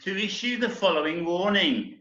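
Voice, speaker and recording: Earnest, slightly flustered theatre compere, acted by a man, bright and high-pitched, roomy lo-fi sound. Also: expressive, moderate pace, slight background noise. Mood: awkward, formal. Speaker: amateur actor in a remote group reading. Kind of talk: comic stage-play reading, addressing the audience. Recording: echoey, dull internet call recording.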